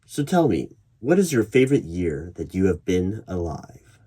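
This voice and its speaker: A man playing an interviewer in an ASMR job interview roleplay, putting probing questions in a measured way. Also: sits low, big pitch swings, warm tone. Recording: clear background noise. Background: computer keyboard typing and clicks.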